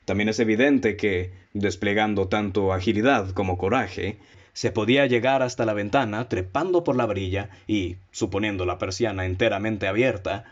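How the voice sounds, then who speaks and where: A man with a Mexican accent playing a composed, analytical detective in a radio drama, speaking in a formal, didactic, deliberate way. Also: lowish voice, lightly acted. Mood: explaining. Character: analytical male detective, methodical, composed, leading his listeners. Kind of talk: radio drama acting, formal literary dialogue. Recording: dull, muffled lo-fi audio.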